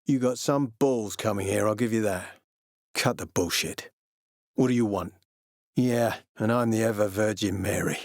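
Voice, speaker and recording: Game role: gruff military commander, suspicious, curt and threatening, profane streak, recorded dry. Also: male voice, fairly low pitch, normal speed, a little mellow, dry recording. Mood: contemptuous threat. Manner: terse, menacing, sardonic, profane. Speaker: video game voice actor. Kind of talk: video game character dialogue.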